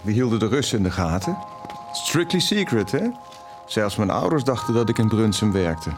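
A man acting as a caring, confessional middle-aged father in an audio drama, speaking intimately and haltingly. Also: fairly low pitch, very animated, over music. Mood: confiding. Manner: halting, repeats words when emotional.

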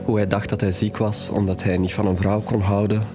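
Guy acting young man in an audio drama, lowish voice, sad and candid, music behind. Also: level tone, brisk, natural voice. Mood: grieving, earnest. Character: grieving, candid young man confessing his troubled past.

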